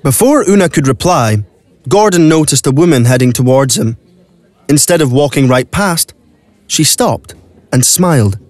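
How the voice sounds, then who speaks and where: A man's voice: a little high, lively intonation, moderate pace, dry recording. Male story narrator, dry studio sound. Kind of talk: scripted dramatic story narration.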